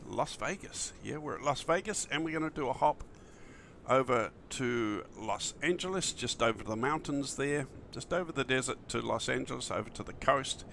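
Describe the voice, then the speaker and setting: A man talks casually to his livestream, friendly and enthusiastic in a loose, rambling way. His voice is bright but croaky and creaky from the early morning, with some background noise under it. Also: mid-range voice. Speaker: flight simulator streamer.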